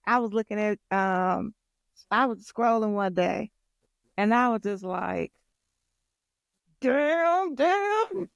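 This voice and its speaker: American lady podcast cohost, smooth clear voice, super casual and slangy, close dry sound. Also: on the high side, slow, pauses often, dry recording. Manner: playful, slangy, expressive. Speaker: podcast co-host and content creator. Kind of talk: casual girl-talk chat.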